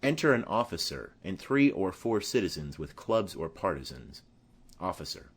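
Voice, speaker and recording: A man with a husky voice narrating a play script, reading out names and stage directions. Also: sits low, very animated, normal speed. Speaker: audiobook narrator.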